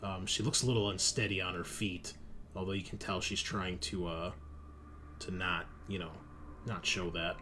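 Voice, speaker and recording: Male game master, lowish voice, halting informal narration, explains rules, noisy room recording. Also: fairly even intonation. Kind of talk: improvised narration of a tabletop roleplaying game. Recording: clear background noise, room ambience.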